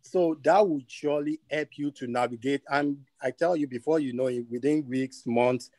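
A Nigerian man with a Nigerian accent speaks over a video call with a dry, close sound. He tells stories in a friendly, lively way as a panelist. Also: medium pitch, moderate pace, no echo. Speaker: webinar panelist.

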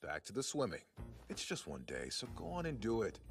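A man voicing a flippant, exasperated high school English teacher in an anime fan dub, casual and wry. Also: wildly swooping pitch, fairly quick, vocal fry, faint music behind, exaggerated voice. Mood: dry.

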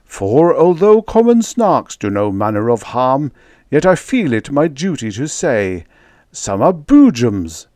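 A man voicing a pompous, blustering ship's captain in a verse reading, declaiming loudly and giving orders. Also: medium pitch, very animated, slow pace, no echo, clean studio sound, voice pushed up. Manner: loud, declaiming, commanding.

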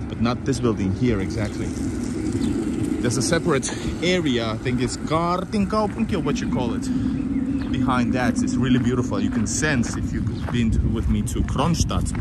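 A man chatting casually as he walks through a city park and streets on a live stream, reading viewer comments and trailing off with fillers. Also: on the low side. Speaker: travel livestreamer. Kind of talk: casual live-stream walking tour narration. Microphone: handheld phone streaming live. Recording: loud outdoor street noise, traffic.

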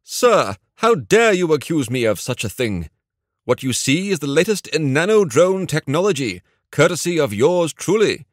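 A man voicing a cocky, excitable male tech whiz in an audiobook, with a theatrical, teasing manner. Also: mid-range voice, moderate pace, no room sound, studio quality, voice pushed up, natural voice. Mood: mock indignant, grand.

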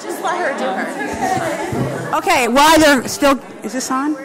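A woman's voice: high voice, unhurried, music in the background, a crowd in the background. A woman coach casually waving players into place for a photo in a council chamber, heard from a distant camera. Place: large formal council chamber, indoors. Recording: distant camera in a noisy crowded hall.

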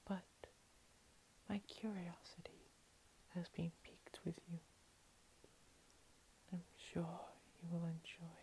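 A woman acts out a menacing female vampire cat-girl princess for an ASMR roleplay. Her whisper is soft, dark and low-lying, barely rising or falling. She is condescending and playful, toying with her prey. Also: rough recording. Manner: slow, teasing, condescending, with lingering pauses. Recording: steady hiss.

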